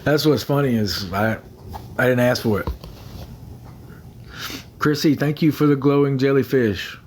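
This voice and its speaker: A man's voice: medium pitch, a little slow, a little mellow, a little hiss or hum. A young man chatting on a phone livestream, rambling, repeating himself and thanking viewers by name. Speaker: livestream host. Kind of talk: casual livestream chat with viewers.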